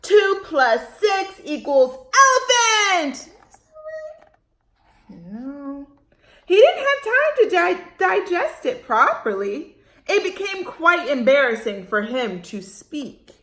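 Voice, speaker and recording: A woman reading aloud to young children as a storytime host, expressive, with dramatic pauses and questions to her listeners. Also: very high voice, echoey room.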